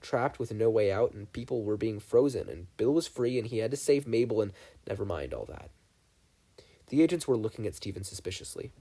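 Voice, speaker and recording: A man's voice: fairly low pitch, animated, brisk, mellow, husky. A man reading fan fiction aloud as a scripted narration. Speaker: podfic reader.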